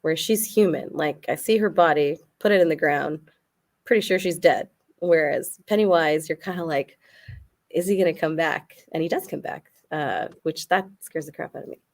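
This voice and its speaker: Brief: woman guest, low-ish gravelly voice, loose rambling podcast debater. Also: normal speed, darkish tone. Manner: rambling, heavy use of "like" fillers.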